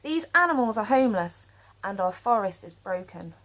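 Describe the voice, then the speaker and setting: A woman's voice: mid-range voice, lively intonation, moderate pace, a bit bright, natural voice, poor audio. A woman voicing a brave young storybook girl who pleads earnestly and emphatically. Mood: earnest pleading.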